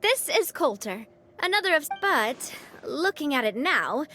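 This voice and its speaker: A woman's voice: sits high, very animated, measured pace, sharp tone, slight background noise, exaggerated voice. An actress playing a confident young female gang boss in a video game. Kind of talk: game voice acting.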